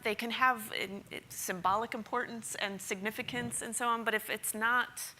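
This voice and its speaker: Female panelist, low bright voice with some vocal fry, thoughtful. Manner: thoughtful, with fillers. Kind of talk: panel discussion.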